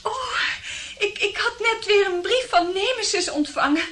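A woman's voice: very high-pitched, animated, measured pace, background noise. An actress playing a detective's anxious, admiring woman client, coaxing and affectionate, in a Dutch radio drama. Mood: shaken, relieved.

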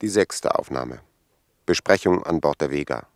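An actor playing a dry, factual announcer of mission recordings in a 1950s German radio play. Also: male voice, extremely low pitch, expressive, normal speed, dry recording.

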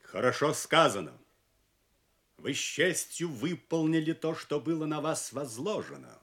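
An actor playing an arrogant, mocking Roman emperor in an audio drama, pompous and boastful. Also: male voice, on the high side, animated, measured pace, fairly bright tone. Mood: cold, boastful. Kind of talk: Soviet audio drama acting.

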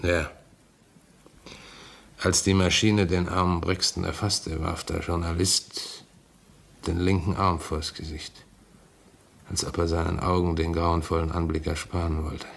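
An actor playing a shaken, grave train driver in a radio play, speaking deliberately. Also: male voice, deep voice, level tone, creaky, a bit bright, a little hiss or hum, heavily acted. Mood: grave, horrified.

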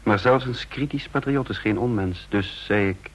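A man playing a shaken, brooding secret agent who narrates his thoughts in measured, husky tones in a Dutch radio drama. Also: lowish voice, natural voice. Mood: tense reflection.

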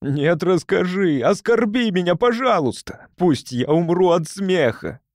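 A man's voice: high voice, expressive, measured pace, dry recording, clean studio sound, pitched above the performer's own voice. A man voicing an anxious, eager male explorer who pleads insistently in a trembling way. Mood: pleading insistent. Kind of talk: audiobook character voice.

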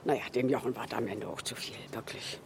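A woman's voice: a bass voice, quick pace. Radio drama: elderly widow, fond, rambling, down-to-earth; audible noisy ambience behind her. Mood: nostalgic, wistful. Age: older. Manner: rambling, reminiscing, with colloquial tag questions. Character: chatty, fond old Berlin widow, former flower seller. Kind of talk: naturalistic radio drama dialogue.

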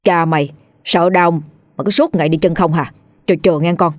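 A woman voicing a worried, gruff village father, in a low voice with a dry sound. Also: brisk, no echo, lightly acted. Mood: scolding. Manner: gruff, scolding.

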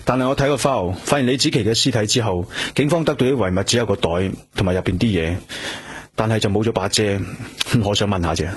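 An actor playing an earnest police detective in a radio drama, speaking Hong Kong Cantonese in a polite, hesitant, natural way. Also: male voice, fairly low pitch, fast talker, close to an everyday voice. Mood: polite, probing. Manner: polite, hesitant, conversational questioning. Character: earnest male police detective, self-reproaching, gentle with companion. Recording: clear background noise with hum.

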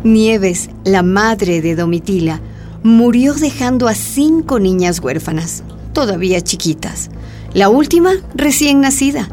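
A woman narrating a scripted radio drama in a measured, dramatic storytelling manner. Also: medium pitch, expressive, darkish tone. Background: faint music.

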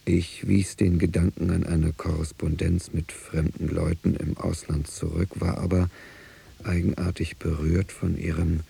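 A wary musician husband reporting on his wife in a radio play. His low, heavily creaky voice is very husky, and he speaks in measured, stiffly formal phrases. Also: flat delivery, warm tone, heavily acted. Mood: guarded. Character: guarded, suspicious husband, a musician, writing informant reports. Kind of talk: radio drama monologue, dictated report.

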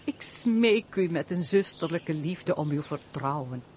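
A woman acting a lively, compassionate countess in a Dutch radio play, with formal, expressive, theatrical speech. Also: low voice, a little slow. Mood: earnest pleading. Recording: noisy old radio play recording.

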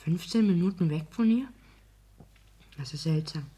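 Casting: reserved, curt housewife, lower register, practical and guarded, vintage radio play style. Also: female voice, animated, moderate pace, mellow, slightly rough. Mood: wary, curious. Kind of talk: 1960s German radio drama acting.

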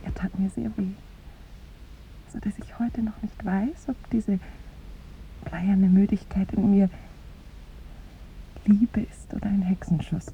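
A woman's voice: medium pitch, level tone, takes it slowly, gravelly, long pauses, dark tone, slight background noise. An actress playing a tender, lovestruck young woman in a 1950s German radio play, with wistful, lyrical phrasing that often sinks to a whisper. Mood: tender admiration. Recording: muffled, phone-like 1950s radio recording.

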